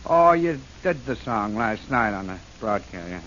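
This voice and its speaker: An actor in a 1940s radio drama playing a jokey music publisher who wisecracks and laughs a lot. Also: male voice, on the low side, big pitch swings, moderate pace, a bit bright, a little hiss or hum. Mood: breezy. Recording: muffled old radio broadcast.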